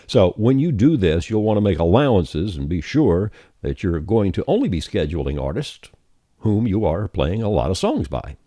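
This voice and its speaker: Deep, lively man presenting a tutorial. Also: normal speed, no room sound. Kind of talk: scripted tutorial narration, explaining steps on screen.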